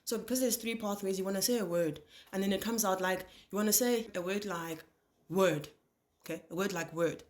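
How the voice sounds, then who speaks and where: A woman's voice: mid-range voice, a little fast. A young woman from Nigeria with a Nigerian English accent, whose first language is Igbo, talks casually to the camera in her kitchen, telling stories and imitating how words are pronounced. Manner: lively storytelling, mimics pronunciations, rhetorical questions. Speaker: vlogger. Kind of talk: casual chatty rant to camera. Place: home kitchen while cooking.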